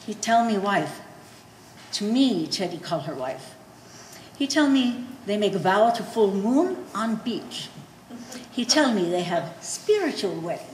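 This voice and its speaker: A woman's voice: medium pitch, sing-song, measured pace, darkish tone. A woman playing a blunt, scornful old Polish immigrant mother in a stage play, speaking broken English with a Polish accent. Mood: baffled, exasperated. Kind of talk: one-act stage play performance. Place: gallery stage performance, indoors. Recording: echoey hall with faint noise.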